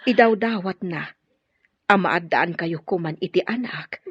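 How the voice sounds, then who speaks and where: A woman's voice: lowish voice, unhurried, breathy edge, dry recording. A woman playing a caring, polite housemaid in a radio drama. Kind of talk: Ilocano radio drama acting. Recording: dull lo-fi audio.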